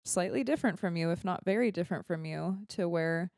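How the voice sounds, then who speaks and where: A woman's voice: fairly low pitch, a little fast, darkish tone, dry recording, clean studio sound. A woman co-hosting a casual podcast, tossing in wry, playful remarks and the odd Spanish word. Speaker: podcast co-host. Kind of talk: casual podcast chat with some Spanish words.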